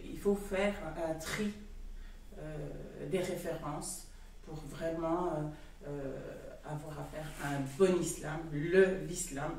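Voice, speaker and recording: A speaker in a public discussion, halting with many fillers, recorded by a far camera in a room. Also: medium pitch, creaky, darkish tone, very echoey, a little hiss or hum. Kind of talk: debate contribution.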